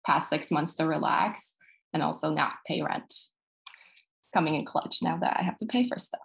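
A woman from Texas, a new doctor, chatting in a relaxed way on a panel. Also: low voice, normal speed, creaky. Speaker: medical graduate panelist. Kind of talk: casual panel talk.

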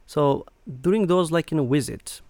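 A middle-aged man from Turkey, speaking as an interview guest in a halting way with many fillers. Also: on the high side, creaky, darkish tone, dry recording. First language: Turkish. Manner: hesitant, many fillers and restarts. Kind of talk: conversational interview.

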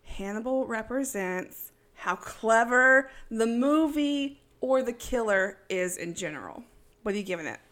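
A woman's voice: on the high side, expressive, unhurried, fairly bright tone. A woman from East Texas co-hosting a casual podcast, blunt and jokey, laughing often. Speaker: podcast co-host.

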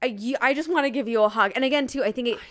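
A woman's voice: on the high side, very fast. A woman co-hosting a podcast in casual chat, gushing and emphatic, with lots of informal filler words. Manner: frequent "like" filler, informal youthful phrasing. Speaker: podcast co-host. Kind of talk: casual chat about a romance novel.

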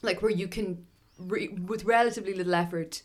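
A young Irish woman co-hosting a podcast, chatting casually in an animated, joking way and swearing freely. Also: medium pitch, fairly quick. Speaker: podcast co-host. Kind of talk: casual chat.

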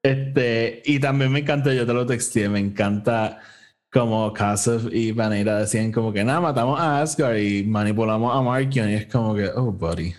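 A man's voice: mid-range voice, moderate pace. A Puerto Rican man co-hosting a podcast, casually recapping a story and slipping between Spanish and English. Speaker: podcast co-host.

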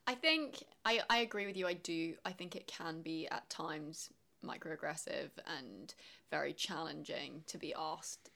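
An English woman co-hosts a casual podcast chat. Her voice sits low with a gravelly edge. She speaks in a reflective, measured way. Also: bright, forceful tone. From England. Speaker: podcast co-host.

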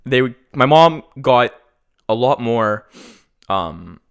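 A man's voice: medium pitch, lively intonation, slow, clean tone. A young man chatting casually as a podcast guest about his beekeeping, rambling with restarts and casual swearing, a bit sniffly from a runny nose.

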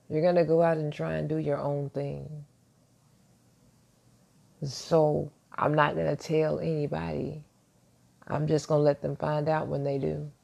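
A man hosting a casual motivational podcast monologue. He talks in a soft, deep voice, quietly so as not to wake his neighbors, and sounds self-assured and reflective, rambling with restarts. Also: vocal fry, dull audio. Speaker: podcast host. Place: at home, early morning.

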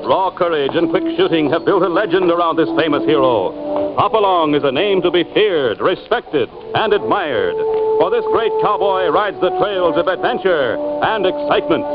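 A man's voice: fairly high pitch, expressive, moderate pace. A man announcing an old-time radio show in a grand, declamatory, dramatic style. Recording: muffled old radio recording over loud music.

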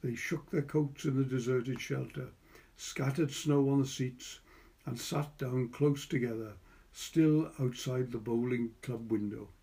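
A man's voice: medium pitch, steady tone, a little slow, warm tone. A man with a Welsh accent reading a literary short story as narrator. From Wales.

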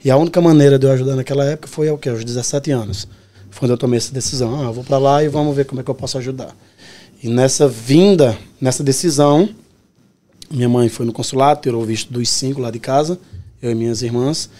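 Podcast guest, 30s male, Pernambuco accent, mellow and earnest. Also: medium pitch, fast. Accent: Pernambuco Brazilian Portuguese. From Pernambuco, Brazil. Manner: earnest, explains his story step by step. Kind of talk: casual podcast interview.

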